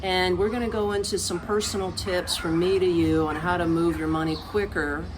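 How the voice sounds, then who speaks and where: An American woman talks casually to her viewers in a fairly low voice. She tells things in a colloquial, animated way and often turns to the listener with asides. There is slight background noise on the recording. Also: normal speed. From United States. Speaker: expat vlogger. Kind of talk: casual chat to camera.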